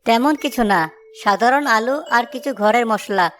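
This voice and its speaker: A woman's voice: fairly high pitch, moderate pace, a little hiss or hum, natural voice. A woman voicing a village samosa seller in a cartoon story. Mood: humble, grateful. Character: village samosa sellers, one humble, one jealous.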